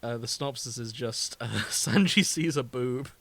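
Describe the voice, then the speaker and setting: A man's voice: medium pitch, normal speed, vocal fry, fairly bright tone, dry recording. A British man co-hosting a podcast in a casual, comedic chat, amused and prone to giggling. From Britain. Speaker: podcast co-host.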